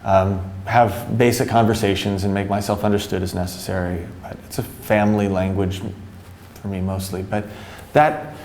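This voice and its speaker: A man's voice: lowish voice, flat delivery, moderate pace, a little mellow. A man living abroad, answering interview questions hesitantly and restarting his sentences often. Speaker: expat interviewee. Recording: echoey room with faint noise.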